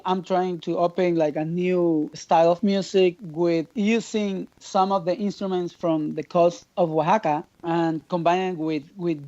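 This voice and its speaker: A man from Mexico with a Mexican accent, a native Spanish speaker, speaking haltingly as a percussionist guest on a video call. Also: sits high, darkish tone, dull audio. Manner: halting, searching for words. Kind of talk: casual remote interview.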